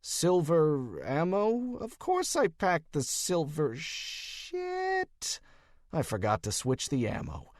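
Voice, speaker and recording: A man's voice: a little high, wildly swooping pitch, slow pace, no room sound, clean studio sound, voice pushed up, full character voice. A man playing a sarcastic, wisecracking male detective in an audiobook, with a defensive edge.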